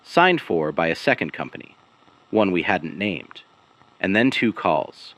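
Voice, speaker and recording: A man performing as a haunted investigative broadcaster in a serial audio drama, with a low-pitched voice that moves expressively. He sounds weary and grave, setting out each clipped phrase deliberately and leaving dramatic pauses. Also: sing-song, a bit bright, no echo, full character voice, poor audio. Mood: weary, resolute. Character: weary, haunted male investigative broadcaster piecing together a conspiracy. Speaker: broadcast host and narrator of a fictional missing person investigation series.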